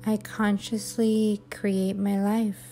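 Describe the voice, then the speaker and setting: A woman guiding a sleep hypnosis and meditation in a soothing, unhurried, measured way. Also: medium pitch, level tone, mellow. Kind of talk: guided sleep hypnosis, affirmations. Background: music.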